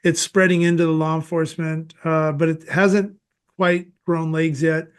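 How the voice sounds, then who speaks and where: A man's voice: a little high, flat delivery, normal speed, clean tone. American man hosting a casual, thoughtful interview. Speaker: podcast host.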